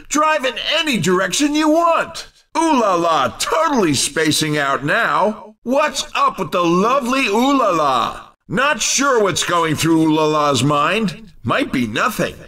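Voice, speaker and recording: Wry excitable racing game announcer, high pitched and really swoopy, teasing the drivers. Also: male voice, recorded from a distance, full character voice. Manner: wry, showy sportscaster delivery. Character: excitable, cheeky male race commentator, teasing drivers. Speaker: race commentator. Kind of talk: video game race commentary.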